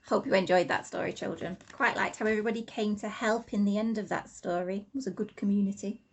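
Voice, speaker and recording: A woman, an infant school teacher, reading a rhyming storybook expressively to children with deliberate, sing-song emphasis. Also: medium pitch, steady tone, creaky, breathy edge.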